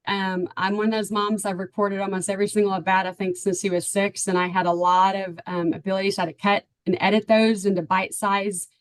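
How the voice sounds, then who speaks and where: A woman's voice: medium pitch, level tone, a little fast, hardly any pauses, dry recording. A middle-aged woman with a Texan accent, telling her story as an interview guest in a chatty, earnest way, full of ums and "right?". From Texas, USA. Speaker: parent of a baseball player, client guest.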